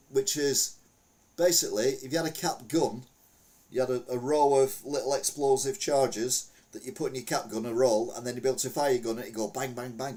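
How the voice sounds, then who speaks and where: A man's voice: mid-range voice, darkish tone, far from the mic. A British man hosting a podcast, reading out odd news items with a wry, rambling, joking manner and lots of fillers. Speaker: podcast host. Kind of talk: casual news commentary.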